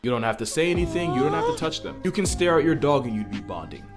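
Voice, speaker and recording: Man, scripted narration, informative and upbeat, animated pitch, loud guitar music. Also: quick pace. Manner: informative, upbeat list-video delivery. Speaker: video narrator.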